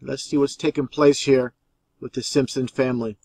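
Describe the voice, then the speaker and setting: A man's voice: mid-range voice, little pitch movement, moderate pace, creaky, darkish tone, dry recording. A man giving conspiracy commentary over video clips as the host, explaining in an insistent, repetitive way.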